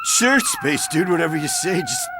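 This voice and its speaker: An actor playing a laid-back slacker clerk who drawls in dude slang in a video game. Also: male voice, high-pitched, huge pitch swings, normal speed, over music, exaggerated voice. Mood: indifferent. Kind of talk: video game cutscene acting.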